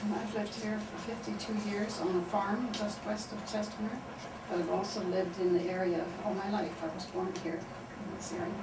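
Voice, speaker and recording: A woman's voice: medium pitch, little pitch movement, moderate pace. Woman, 70s, farmer interviewee, plain delivery; roomy echo, far from mic. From Canada. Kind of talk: casual interview answers. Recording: distant mic in a noisy, echoey room.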